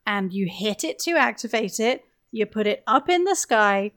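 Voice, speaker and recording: A woman chatting casually on a gaming podcast, telling stories in an animated way and acting out quotes. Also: mid-range voice, moderate pace. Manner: animated storytelling with acted-out quotes.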